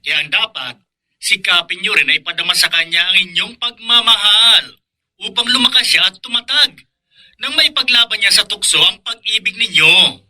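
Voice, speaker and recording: A man's voice: sits high, normal speed, bright, forceful tone. A man playing a preachy, chatty Filipino radio host giving emphatic advice in a drama. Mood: earnest advising.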